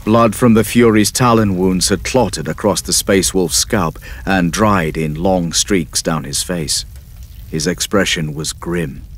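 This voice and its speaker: A man's voice: low voice, a little slow. A man giving dramatic scripted narration as the storyteller of an audio drama. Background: music.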